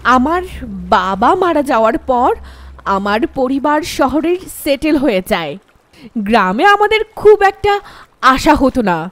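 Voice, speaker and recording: A woman voicing a vengeful female ghost in a horror cartoon, with a harsh voice and menacing, drawn-out threats. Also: high voice, very animated, no echo. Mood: bitter, vengeful.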